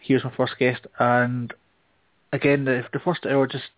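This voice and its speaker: Male podcast host, mid-pitched, casual and hesitant, dry telephone-grade audio. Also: level tone, moderate pace, dry recording. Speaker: show host taking a turn as guest. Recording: thin phone-like internet radio sound.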